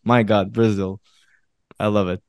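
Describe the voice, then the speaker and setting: A man hosting a podcast in casual chat, animated and laughing, often repeating phrases for emphasis. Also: fairly low pitch, unhurried, clean tone, dry recording, studio quality. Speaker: podcast host.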